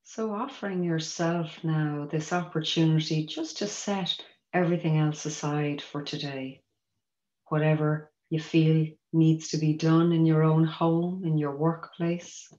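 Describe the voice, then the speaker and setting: Low-voiced woman guiding a meditation. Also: fairly even intonation. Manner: gentle, measured, invitational. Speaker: mindfulness teacher guiding a body scan. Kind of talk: guided meditation instruction. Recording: roomy echo, dull muffled sound.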